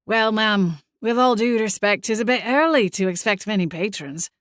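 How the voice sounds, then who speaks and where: A woman's voice: medium pitch, normal speed, fairly bright tone, dry recording, clean studio sound, natural voice. A woman voicing a polite, flustered young Scottish barman in an audiobook, hesitant and courteous. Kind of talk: audiobook character acting.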